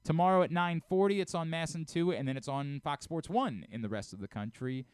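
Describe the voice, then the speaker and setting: A man's voice: on the high side, a little fast, clean tone, no echo. An American man hosting a casual sports talk radio show, chatting and reading ads. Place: radio studio.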